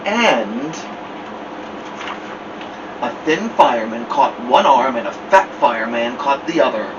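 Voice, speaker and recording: A man reading a children's picture book aloud as a storytime host, theatrically, with drawn-out words and dramatic pauses. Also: medium pitch, expressive. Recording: distant mic, dull sound, noisy background hum.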